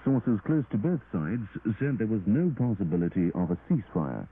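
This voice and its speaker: Over a thin, lightly noisy 1980s shortwave signal, a man reads the radio news. His voice is fairly low, and his pitch moves widely as he delivers the formal script in a measured way. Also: very animated, phone-quality sound. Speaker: radio newsreader. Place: radio broadcast studio.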